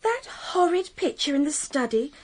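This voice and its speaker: A woman's voice: sits high, big pitch swings, normal speed. An actress playing a proud, dismissive young English lady in a radio drama. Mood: indignant, scornful. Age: young adult.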